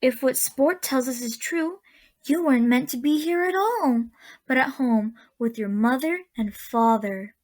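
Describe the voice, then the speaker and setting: A kindly old woman of a magical storybook land, played by an actress, speaks in a high voice. She is gentle and folksy, giving unhurried advice as in a radio drama. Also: animated. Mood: gently persuasive.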